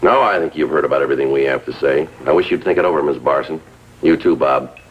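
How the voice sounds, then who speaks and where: An actor playing a stern, no-nonsense police sergeant in 1950s TV crime drama style, clipped and authoritative. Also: male voice, extremely low pitch, fast, slight background noise. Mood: grave. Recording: dull lo-fi 1950s TV soundtrack.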